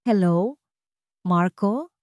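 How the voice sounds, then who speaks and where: A woman's voice: medium pitch, lively intonation, drawn-out words, darkish tone, no room sound. Lady doing gloating old filipina mother-in-law, haughty, spiteful, audiobook drama, dry sound. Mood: smug spite. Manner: gloating, dismissive.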